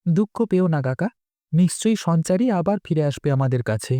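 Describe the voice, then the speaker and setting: A man's voice: on the high side, lively intonation, a little fast, warm tone, no room sound, studio quality, natural voice. A man voicing an earnest, loyal, worried village boy in a cartoon, with a pleading way of talking. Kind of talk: dubbed cartoon dialogue.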